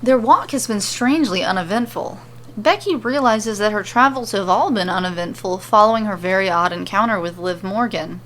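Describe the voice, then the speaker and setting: A woman's voice: mid-range voice, moderate pace, a bit bright, poor audio. A woman storyteller reading scripted fantasy narration, with ambient sound effects behind her. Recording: faint background noise and ambience.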